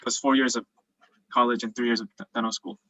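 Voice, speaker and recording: Bright-toned man explaining casually on a call. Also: medium pitch, level tone, quick pace, dry recording. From South Korea. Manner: casual, explanatory, frequent fillers. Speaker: dental student presenter. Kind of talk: virtual presentation and Q&A. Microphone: video call.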